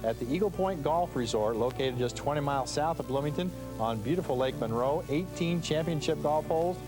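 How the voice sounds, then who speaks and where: A man's voice: fairly high pitch, expressive, fairly quick. A man reading scripted commercial narration as an announcer. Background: music bed.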